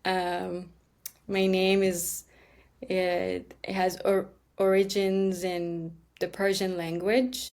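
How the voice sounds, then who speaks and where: Woman from iran, slow and hesitant, lots of ums, lowish voice. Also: flat delivery, a little mellow. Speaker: podcast guest. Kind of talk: interview, telling her life story.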